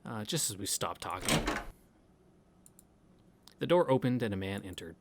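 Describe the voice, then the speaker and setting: A man's voice: lowish voice, expressive, normal speed, very noisy. A man reading a visual novel aloud as a streamer, casually, with irreverent and crude wisecracks. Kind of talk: casual read-through with wisecracking commentary.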